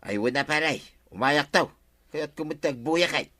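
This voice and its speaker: A questioning sidekick to a village captain in an Ilocano radio comedy. His voice is fairly high and sharp, recorded dry, with an Ilocano accent. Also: a little slow, no echo. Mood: chatty. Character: captain's nosy, questioning male buddy. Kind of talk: broadcast comedy drama acting in Ilocano.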